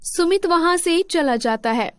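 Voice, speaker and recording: A woman's voice: very high-pitched, lively intonation, unhurried, no echo, clean studio sound. A woman reading scripted narration for a children's moral story.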